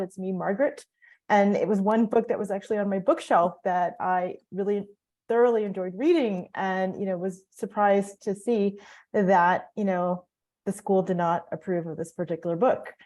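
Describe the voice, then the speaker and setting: A woman from the American Midwest speaking as a panel guest. She talks hesitantly, pausing on fillers as she goes. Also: medium pitch, fairly even intonation, a little mellow. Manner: hesitant, many ums and you knows.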